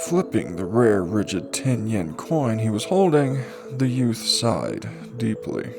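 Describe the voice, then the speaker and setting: Male narrator for a light novel audiobook, creaky voice with lively swings in pitch, dramatic read over faint music. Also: takes it slowly. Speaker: audiobook reader.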